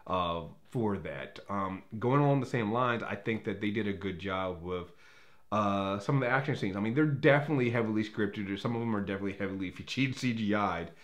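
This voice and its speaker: A man's voice: fairly low pitch, brisk. A man hosting a movie review in a casual, unscripted way, rambling and opinionated, often backtracking as he talks.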